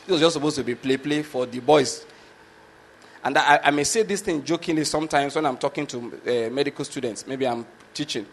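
A Nigerian man with a slightly higher-than-usual voice tells an easygoing story from his school days. He chuckles often and keeps checking in with the listener through rhetorical questions. His first language is Yoruba, and it shows in his accent. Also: a little fast, a little hiss or hum. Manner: chuckling, rhetorical, often asks "you understand my point?". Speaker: medical lecturer. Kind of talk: casual storytelling monologue.

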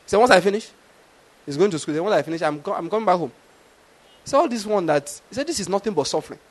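High-voiced preacher man. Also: lively intonation, fast. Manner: storytelling with rhetorical questions. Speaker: pastor. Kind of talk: sermon.

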